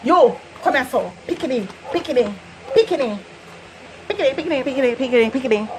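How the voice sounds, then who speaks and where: Woman, Jamaican accent, young adult, high-pitched, animated patois vlogger. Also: moderate pace, slight background noise, rough recording. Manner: animated, laughs often, slips into patois. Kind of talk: casual chatty lesson.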